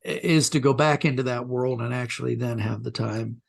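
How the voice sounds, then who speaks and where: A man, a writer being interviewed, talking reflectively and haltingly as he rephrases his thoughts. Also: medium pitch, gravelly, slightly rough.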